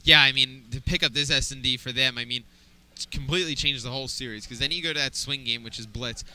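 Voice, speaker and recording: A man's voice: medium pitch, quick pace, bright, forceful tone. A young adult man giving analytical esports color commentary, thinking aloud with fillers. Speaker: esports caster.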